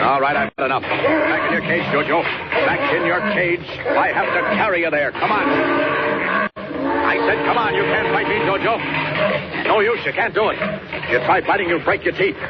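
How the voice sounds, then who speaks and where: An actor playing a bold, confident superhero with a commanding, declamatory manner in 1940s radio serial style. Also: male voice, fairly high pitch. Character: bold, confident superhero, playfully tough in a fight. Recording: very noisy muffled old radio recording.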